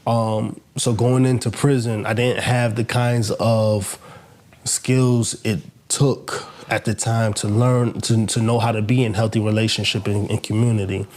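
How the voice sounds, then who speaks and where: A man's voice: on the low side, little pitch movement, unhurried, darkish tone. A man from Massachusetts and New York City, a podcast guest, speaking reflectively and often ending points with "right?". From Massachusetts and Queens, New York. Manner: reflective, tags statements with "right?". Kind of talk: interview conversation.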